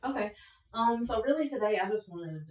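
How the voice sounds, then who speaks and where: A woman's voice: medium pitch, lively intonation, normal speed, darkish tone. Frank woman in her thirties, very echoey room. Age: 30s. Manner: frank, candid talk. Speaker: podcast co-host. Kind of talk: casual chat. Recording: very echoey room, mic at a distance.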